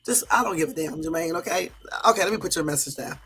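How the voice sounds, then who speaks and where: A hoarse woman from the US West Coast hosting a live stream, chatting casually with viewers and sprinkling in lots of fillers. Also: deep voice, fast talker, fairly bright tone. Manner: chatty, addresses viewers as y'all, many fillers. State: hoarse from a cold. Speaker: livestream host.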